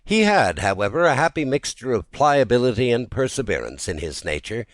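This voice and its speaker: A man narrating a classic literary tale in a theatrical, expressive storytelling manner. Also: medium pitch, a little fast, dry recording, studio quality. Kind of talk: dramatic literary audiobook narration.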